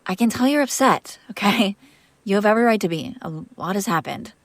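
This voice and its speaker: Woman playing a lady vampire master in an audio roleplay, mid pitch, exasperated but caring, kinda sardonic, super dry close sound. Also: animated, fairly quick, dry recording, lightly acted. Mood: pleading, reassuring. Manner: conversational, defensive, sardonic. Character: female vampire master, exasperated yet protective caretaker. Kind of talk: intimate audio roleplay acting, one side of a conversation.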